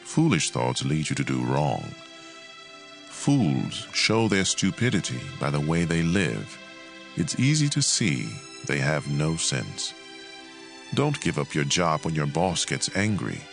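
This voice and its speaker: A man reading scripture as a dramatized audio Bible narration, in a measured and expressive way. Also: extremely low pitch, vocal fry, faint music behind.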